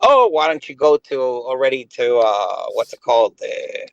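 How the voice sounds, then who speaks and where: A man's voice: medium pitch, lively intonation, moderate pace, vocal fry, a bit bright, no echo, dull audio. A man in his late thirties chatting casually on a voice call, rambling and hesitant with many fillers. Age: 30s. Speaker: gaming guest. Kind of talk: casual chat.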